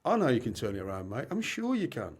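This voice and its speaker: A man's voice: medium pitch, huge pitch swings, fast talker, slightly rough. A man acting in an amateur radio play as a blunt, exasperated but supportive best mate.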